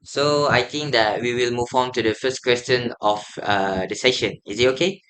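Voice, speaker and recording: A man's voice: mid-range voice, steady tone, moderate pace, fairly bright tone, slight background noise. A young man, politely asking interview questions as a student, with fillers. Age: young adult. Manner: polite, with fillers and brief acknowledgments. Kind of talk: semi-formal interview questions.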